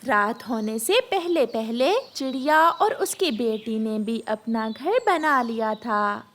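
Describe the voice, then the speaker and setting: Woman narrator for a kids' cartoon, slow, high, sing-song reading. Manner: slow, expressive. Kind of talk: scripted storybook narration.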